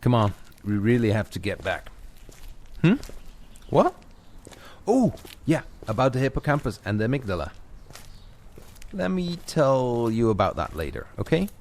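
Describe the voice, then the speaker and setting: A man's voice: mid-range voice, big pitch swings, normal speed, long pauses, a little mellow. A man coaching the listener in an informal, conversational audio-walk narration, addressing them directly. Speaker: coach guiding a walking audio lesson. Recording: outdoor ambience noise with clicks. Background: added outdoor walking ambience, birds.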